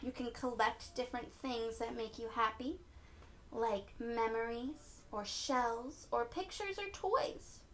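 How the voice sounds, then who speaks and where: A woman with a high voice reads a picture book aloud for small children. She takes her time, speaking expressively and encouragingly as if the listeners could answer. There is faint noise in the background. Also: slow, a bit bright. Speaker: children's read-aloud storyteller.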